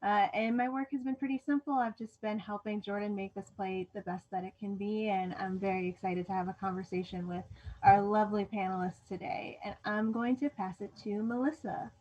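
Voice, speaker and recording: A woman's voice: mid-range voice, steady tone, a little fast, a little mellow. A woman moderating a panel, friendly as she hands off to each speaker. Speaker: dramaturg, moderator. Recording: dull lo-fi sound.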